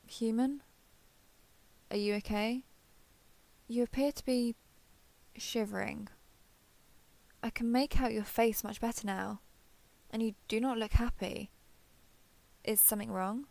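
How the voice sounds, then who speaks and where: A woman's voice: mid-range voice, vocal fry. A woman voicing a grand, vain female dragon in a scripted audio roleplay, theatrical and imperious, with formal wording. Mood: puzzled, concerned. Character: grand, vain female dragon, menacing yet eager and socially clueless.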